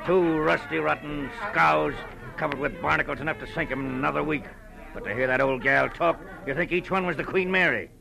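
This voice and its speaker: Gravelly, hot-tempered old sea dog. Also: male voice, on the high side, fairly quick, music in the background, poor audio. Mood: gruff, scornful. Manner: belligerent, salty sailor slang. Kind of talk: 1940s radio detective drama acting.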